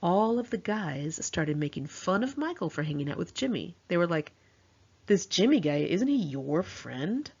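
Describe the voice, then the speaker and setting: An American woman narrates a personal memoir in a low, husky voice. She sounds conversational and slangy, like an author reading her own informal story, and acts out quoted dialogue. The recording is a slightly dull, lo-fi home take. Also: very animated, normal speed, darkish tone.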